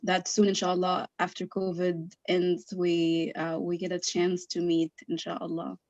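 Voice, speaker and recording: A woman's voice: fairly low pitch, monotone, a little slow, a little mellow, dry recording, highs cut off. A woman in the audience chatting on a video call, hesitant, with many fillers. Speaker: audience member. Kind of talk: casual chat on a video call.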